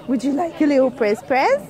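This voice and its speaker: A woman chatting casually and excitedly to small children, in an exclamatory, coaxing, sing-song way, filmed on a phone outdoors. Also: high-pitched, measured pace, a crowd in the background. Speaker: vlogging mother. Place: outdoors on a woodland trail. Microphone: handheld phone, behind the camera.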